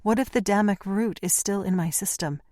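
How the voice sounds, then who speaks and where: A man's voice: high voice, normal speed, darkish tone, dry recording, studio quality, voice pushed up, lightly acted. A man voicing a frightened, confused young woman in an audiobook, speaking anxiously in a raised voice. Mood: fearful. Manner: anxious, questioning.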